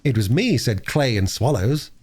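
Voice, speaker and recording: A man's voice: medium pitch, sing-song, normal speed, a little mellow, slightly rough. A man voicing a bossy, self-righteous young boy in a storybook reading. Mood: scornful.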